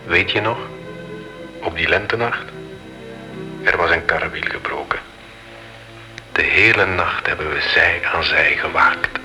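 Deep, bright-toned ghostly young lover. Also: male voice, a little slow, pauses often, soft background music, exaggerated voice, low-quality recording. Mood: romantic, nostalgic. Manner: solemn, romantic, reminiscing. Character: ardent young nobleman's ghost, in love, speaking from a painting. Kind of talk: radio drama acting.

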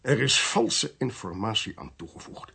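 An actor playing a blunt, commanding military intelligence chief in a radio drama, speaking in clipped, authoritative phrases. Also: male voice, fairly low pitch, big pitch swings, moderate pace, creaky, sharp tone, breathy edge, heavily acted. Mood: grave explaining. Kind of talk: Dutch radio drama acting.

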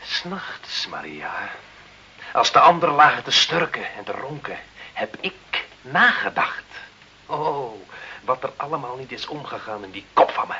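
An actor playing a proud, vengeful Italian husband and father, rough-voiced and intense, in a Dutch radio drama. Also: medium pitch, very animated, unhurried, heavily acted. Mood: brooding, vengeful. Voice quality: husky, rough. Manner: intense, brooding, forceful. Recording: dull, lo-fi old radio play recording.

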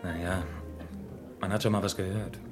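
A man's voice: low voice, steady tone, moderate pace, over music, natural voice. An actor playing a matey but evasive club barman with a shady past in a radio crime drama, talking colloquially. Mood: reluctant. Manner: colloquial, cagey. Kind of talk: German radio crime play acting, colloquial.

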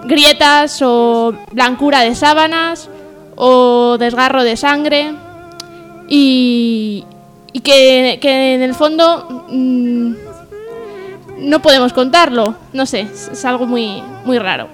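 A woman with a high voice presents her radio section informally. She is earnest and hesitant, often putting herself down, with faint music behind her. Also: slow pace. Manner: hesitant, self-deprecating, earnest. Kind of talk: informal spoken radio monologue.

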